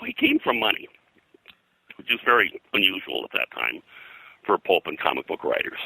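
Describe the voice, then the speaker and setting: An older man being interviewed as a historian, telling anecdotes in a halting, rambling way and often clearing his throat. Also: low voice, wildly swooping pitch, sharp tone, no room sound, low-quality recording. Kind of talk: anecdotal interview talk about old-time radio and pulps.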